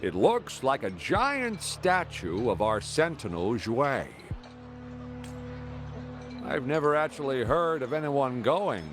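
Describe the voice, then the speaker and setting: A chatty game villager: unhurried, sing-song, slightly high. Music underneath. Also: male voice. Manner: casual, slow storytelling, trails off. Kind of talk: video game voice acting.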